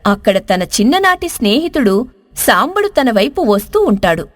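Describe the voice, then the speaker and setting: Casting: female story narrator, high voice, very lively intonation, scripted delivery, close studio sound. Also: moderate pace. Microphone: close studio voice-over.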